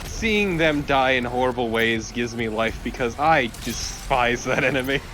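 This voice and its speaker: A man's voice: medium pitch, measured pace, bright, forceful tone, slight background noise. A man co-commentating on a video game in a casual, joking, exaggerating way. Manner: joking, hyperbolic ranting. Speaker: let's play co-commentator.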